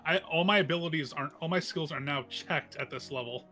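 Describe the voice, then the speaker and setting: A man's voice: on the high side, fairly quick. A man chatting casually as a tabletop game player, stumbling and restarting his thoughts. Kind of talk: casual joking table chat. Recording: faint music behind the voice.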